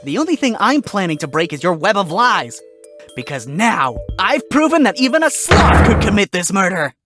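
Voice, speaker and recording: Dude doing a smug fox lawyer, dry sarcastic jabs, voice swinging up and down, music clearly playing under. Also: male voice, high voice, very animated, moderate pace. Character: smug sly male fox defense lawyer.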